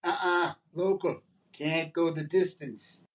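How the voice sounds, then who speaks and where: A man's voice: a little high, slow pace. An actor playing a furtive, laconic male racetrack tout who talks in clipped, confiding phrases in a radio comedy. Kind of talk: 1940s radio comedy acting.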